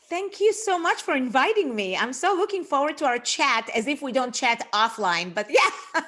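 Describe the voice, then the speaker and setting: Expressive middle-aged Israeli woman, a guest coach on a chat podcast, fairly high voice. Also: normal speed.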